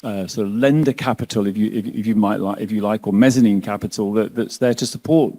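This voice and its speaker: A man on a finance panel, talking in a halting way with many restarts and a self-deprecating touch. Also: fairly low pitch, dark tone, in a large hall. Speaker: lender panelist. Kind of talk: panel discussion.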